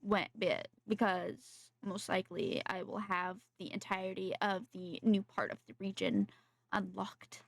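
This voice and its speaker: A woman game streamer chatting casually to viewers, haltingly thinking aloud and repeating her phrases. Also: medium pitch, gravelly, dry recording. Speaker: game livestreamer. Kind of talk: casual livestream chat.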